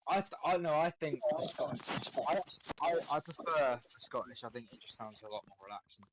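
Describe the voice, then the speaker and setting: A man's voice: on the high side, lively intonation, fairly quick, noisy background. Hesitant man asking follow-up questions. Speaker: co-interviewer. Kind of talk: casual interview.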